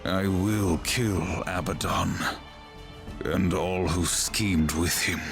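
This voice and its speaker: A man playing a grim, vengeful horseman of the apocalypse in a video game, speaking in a stern, terse, measured way. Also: deep voice, fairly even intonation, music in the background. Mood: resolute. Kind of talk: dramatic video game cutscene acting. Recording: orchestral score behind voice.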